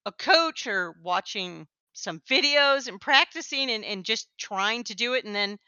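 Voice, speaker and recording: A woman's voice: on the high side, expressive, measured pace, pushed and bright, clear, resonant tone, dry recording, dull audio. A woman with an Upper Midwest accent, chatting casually on a podcast, self-deprecating and laughing often. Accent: Upper Midwest American. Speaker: podcast co-host. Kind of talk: casual chat.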